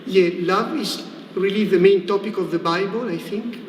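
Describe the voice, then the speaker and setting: High-pitched Italian priest in an echoey room. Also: male voice, normal speed. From Italy. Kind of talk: conversational religious talk in a livestream interview. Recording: echoey reverberant room with faint noise.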